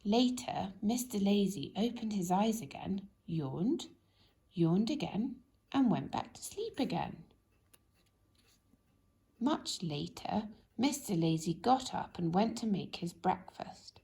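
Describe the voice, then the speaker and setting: A woman narrates a children's bedtime story in a medium-pitched voice with a noticeable creak. She reads expressively and gently, drawing words out and sinking into a hushed tone. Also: lively intonation, slow pace. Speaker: storybook reader.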